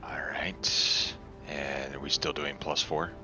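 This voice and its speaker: A man's voice: rumbling low, little pitch movement, slow, vocal fry. A man making brief, casual remarks as a player in a tabletop roleplaying game. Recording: loud music behind the voice. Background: music.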